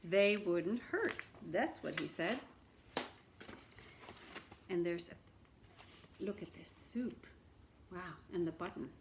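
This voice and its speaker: Animated woman reading a children's story. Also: on the low side, slow pace, long pauses, a little hiss or hum. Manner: interactive asides to listeners.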